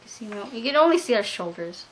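A woman's voice: on the high side, sing-song, fast talker. A young woman host chatting and teasing casually in a small room at home, picked up by a camera mic. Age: young adult. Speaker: vlog host. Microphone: camera mic at about arm's length. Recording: noisy home camera recording.

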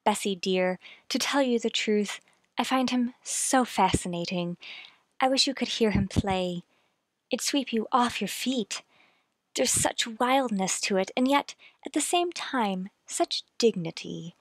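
An actress plays a young lady of genteel manners in a period radio play. Her voice is high and dry, with a dreamy, intrigued way of confiding. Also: expressive, a little slow, dry recording, low-quality recording. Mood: enraptured. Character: genteel young single woman writing letters, intrigued, dreamy. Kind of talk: old-time radio drama acting.

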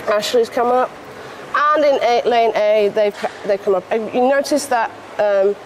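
A woman's voice: fairly high pitch, background noise. A woman with a Northern English accent giving chatty live commentary at a pool competition. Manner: chatty, brisk, asks follow-up questions.

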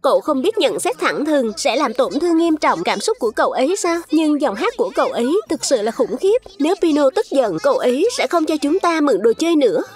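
High, lively little fruit creature. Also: female voice, brisk, few pauses. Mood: worried, blunt. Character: small childlike fruit creature, earnest and outspoken. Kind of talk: children's cartoon dub.

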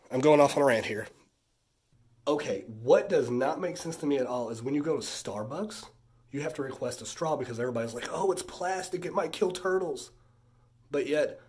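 A husky-voiced man in his thirties talks in an easy, chatty way, sounding stuffed up from a sinus infection. He is recorded indoors on a phone camera held close, easily impressed and drifting into a rant now and then. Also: on the high side, a little mellow. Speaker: vlogger showing a haul. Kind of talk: casual haul vlog chat.

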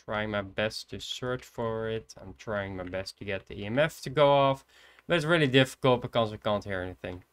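A man's voice: on the low side, moderate pace, clean tone. Shy young Dutch man streaming a game. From Netherlands. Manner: soft-spoken, hesitant, thinking aloud. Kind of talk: casual gameplay commentary. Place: indoors at a computer.

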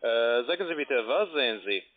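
A man's voice: medium pitch, expressive, moderate pace. A man posing as tech support, a native English speaker speaking broken German with faulty word order, pushing instructions. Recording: tinny phone line.

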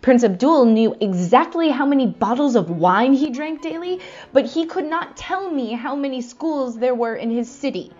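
Audio drama princess, female actor: young, proud, high-principled, declaims earnestly; dull lo-fi recording. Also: animated, normal speed, a little mellow. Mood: scornful.

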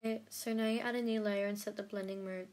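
A woman's voice: medium pitch, steady tone, quick pace, darkish tone. A young woman narrating a drawing-app editing tutorial in a casual, rambling way, full of fillers and self-deprecating asides. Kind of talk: casual screen-recorded tutorial.

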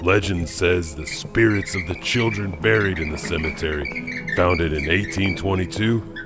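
A man's voice: low voice, normal speed, over loud music, natural voice. A man playing a wry janitor host, narrating scripted urban legends for a horror anthology. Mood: ominous storytelling.

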